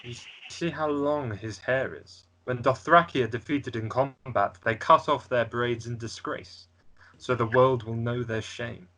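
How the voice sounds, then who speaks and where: A haughty exiled prince with royal ambitions, acted by a man at a table read. His voice is bright and cutting, full of disdain, over a faintly noisy recording with clicks. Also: medium pitch, steady tone, a little slow. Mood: scornful, calculating. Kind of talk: amateur script table read.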